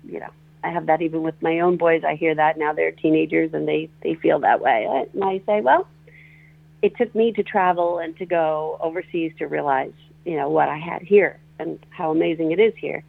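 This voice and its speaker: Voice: mid-range voice, steady tone, moderate pace, creaky. A guest in their forties from northeastern Pennsylvania, telling their story in a rambling, hesitant radio interview with many fillers and restarts. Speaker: guest, musician and songwriter. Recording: tinny phone-like radio audio.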